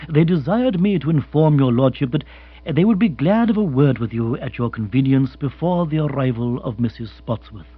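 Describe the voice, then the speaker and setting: A man voicing an imperturbable butler in a comic audiobook, formal, measured and deferential. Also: mid-range voice, expressive, husky. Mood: unruffled, deferential. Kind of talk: comic audiobook character acting. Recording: muffled recording with hum.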